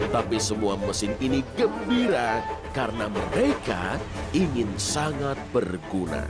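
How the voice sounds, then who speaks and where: Very animated male storyteller over music. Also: medium pitch. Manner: expressive. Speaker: storyteller narrator. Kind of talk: scripted children's story narration. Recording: loud music behind.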